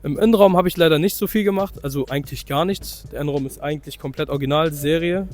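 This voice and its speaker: A young man with a slightly hoarse voice from a cold, chatting loosely through a walkaround of his car and correcting himself as he goes. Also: fairly high pitch, fast, faint music behind. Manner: loose, chatty, full of fillers and self-corrections. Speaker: car vlogger showing his own car. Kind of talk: casual car walkaround vlog.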